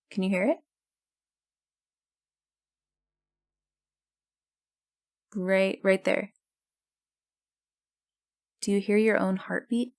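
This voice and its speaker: Creaky-voiced, mysterious radio host. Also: female voice, medium pitch. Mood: intimate, eerie. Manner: measured, cryptic, intimate. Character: mysterious, tender woman hosting an otherworldly late-night radio show. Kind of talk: scripted monologue in a fiction podcast styled as radio.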